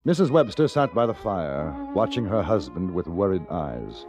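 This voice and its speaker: Low-voiced, observant western narrator. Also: male voice, very animated, normal speed, music in the background. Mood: measured, watchful. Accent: American. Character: calm, observant western traveler telling his story. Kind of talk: first-person radio drama narration.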